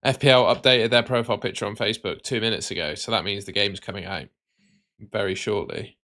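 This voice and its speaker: A man hosting a live stream with casual, matey chat that can turn excitable and sing-song. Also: fairly low pitch, a little fast. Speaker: livestream host.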